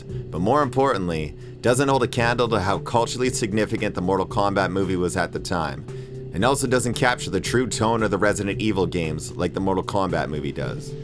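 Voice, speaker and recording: A man in his thirties narrating a casual video essay in an irreverent, opinionated, slangy and profane way. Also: lowish voice. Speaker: video essayist, channel host. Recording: music playing behind the voice. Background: background music.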